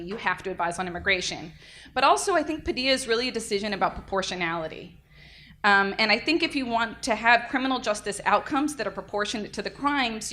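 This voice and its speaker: A young adult woman, a defense attorney, giving an energetic conference lecture into a microphone. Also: medium pitch. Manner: energetic, lively, self-described loud. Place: conference room. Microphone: handheld or podium mic.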